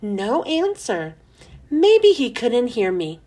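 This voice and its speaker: A woman narrating a children's storybook in an expressive, animated read-aloud style. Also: a little high, takes it slowly. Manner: animated, storytelling for young listeners.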